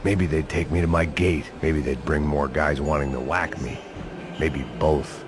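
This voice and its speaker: Casting: a cynical, self-loathing American action hero, middle-aged, deep and gritty, deadpan noir narration for a video game. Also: male voice, measured pace, heavy background noise. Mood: wry, weary. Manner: deadpan, world-weary, sardonic hard-boiled narration.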